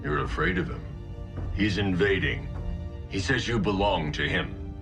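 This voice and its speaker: An actor playing a grim, stubborn vigilante hero in a video game, terse and commanding. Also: male voice, deep voice, very animated, a little slow, vocal fry, over music, exaggerated voice. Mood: suspicious, probing. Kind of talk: video game cutscene acting.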